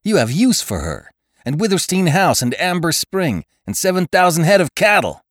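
A man's voice: fairly low pitch, big pitch swings, moderate pace, dry recording, clean studio sound, voice pushed up. A man voicing a proud, passionate young male cowboy rider who answers with taunting defiance. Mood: defiant.

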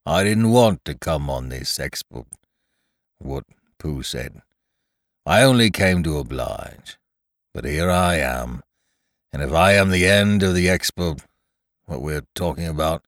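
Deep, gloomy storybook donkey. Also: male voice, expressive, unhurried, pauses often, dry recording, clean studio sound, exaggerated voice. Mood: gloomy, resigned. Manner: ponderous, stumbles over long words. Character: gloomy, put-upon, grumbling old toy donkey. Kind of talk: children's storybook reading.

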